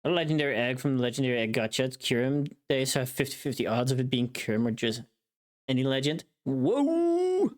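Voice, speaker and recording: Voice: medium pitch, sing-song, brisk. A livestreamer chatting casually while working, easily distracted and prone to exclamations.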